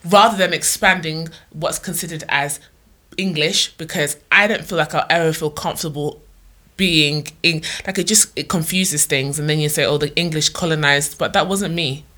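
A woman in casual group discussion, animated and agreeable, often chiming in. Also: deep voice, moderate pace.

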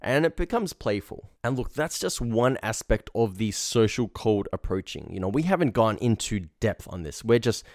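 A man's voice: on the low side, big pitch swings, normal speed, few pauses, dry recording, studio quality. A man hosting as a confidence coach, giving informal instruction in a blunt, slangy way. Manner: blunt, slangy, acts out example lines. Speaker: dating confidence coach, show host.

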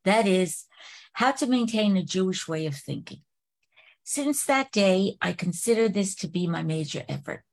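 A woman in an online class reads a passage aloud at a steady, careful pace. Her voice sits at a medium pitch. She is heard over a video call. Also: normal speed. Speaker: class participant. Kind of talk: reading a text aloud.

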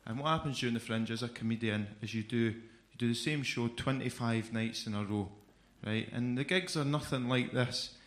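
Brief: Edinburgh Scottish male comic, mid-pitched, chatty, live set in an echoey club. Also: moderate pace, hardly any pauses. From Scotland. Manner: chatty, keeps adding "right?". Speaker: stand-up comedian. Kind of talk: stand-up set. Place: comedy club stage.

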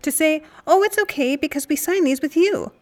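A woman's voice: high voice, moderate pace, faint music behind, lightly acted. A woman playing a candid, indignant friend in a naturalistic audio drama conversation. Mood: candid, critical. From Canada, Saskatchewan.

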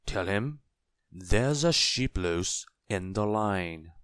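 A man's voice: on the low side, animated, slow, fairly bright tone, clear, resonant tone, no room sound, clean studio sound. A man voicing a polite, matter-of-fact village policeman in a children's storybook. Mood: businesslike.

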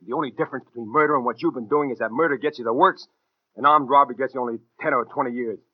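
Man acting a hard-boiled gunman, American accent, clipped slang, quick delivery, dull vintage 1940s radio sound. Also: mid-range voice, no echo, close to an everyday voice. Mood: hard, callous. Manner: slangy, tough-talking, clipped. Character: edgy, hard-boiled gunman narrating and bullying his girlfriend. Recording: muffled 1940s radio recording, faint music.

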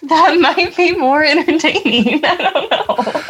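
A woman's voice: high voice, takes it slowly, fairly bright tone. A woman co-hosting a podcast in casual, easygoing, giggly conversation. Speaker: podcast co-host. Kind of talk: casual chat.

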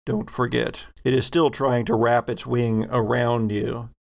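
Male game master, unhurried with vocal fry, descriptive tabletop narration. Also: medium pitch, little pitch movement. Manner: descriptive, halting, thinking aloud.

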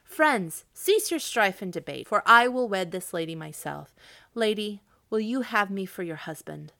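A woman's voice: medium pitch, normal speed, gravelly. A woman reading aloud, voicing a young, courteous male knight who speaks earnestly and firmly. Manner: earnest, forceful.

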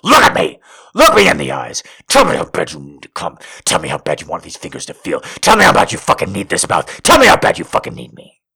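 A man voicing a commanding, growling male lover who speaks low and often in a hushed whisper. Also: wildly swooping pitch, a little fast, gravelly, breathy edge, heavily acted. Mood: domineering.

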